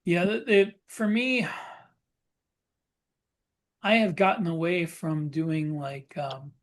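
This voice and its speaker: A man chatting casually as a podcast co-host, explaining things in a halting way. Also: fairly high pitch, steady tone.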